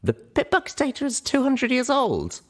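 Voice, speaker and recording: A man's voice: very high-pitched, wildly swooping pitch, moderate pace, pitched above the performer's own voice, exaggerated voice. A man voicing a young pony mare adventurer, anxious but wry, in an audiobook reading. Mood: nervous, annoyed.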